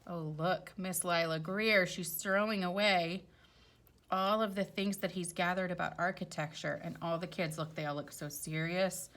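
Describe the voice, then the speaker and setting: A woman's voice: on the low side, steady tone, moderate pace, fairly bright tone. A woman teacher reading a children's storybook aloud expressively, with chatty explanatory asides for young listeners.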